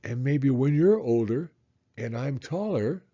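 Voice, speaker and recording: A man's voice: medium pitch, lively intonation, a little slow, mellow, highs cut off. A man voicing a cheerful young boy in a storybook, talking eagerly and affectionately to his dad. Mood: affectionate, wondering.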